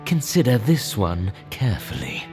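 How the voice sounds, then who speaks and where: A man's voice: on the low side, huge pitch swings, normal speed, exaggerated voice. A man playing a stern rebel messenger in a video game, solemn and threatening. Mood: cold, threatening. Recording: clear background game music.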